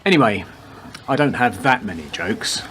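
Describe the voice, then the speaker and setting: A man playing a laconic, deadpan police detective with a macabre wit in a radio play. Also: on the low side, normal speed, a little hiss or hum. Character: wisecracking male police detective with macabre humour. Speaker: actor in a community radio play. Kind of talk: radio drama dialogue.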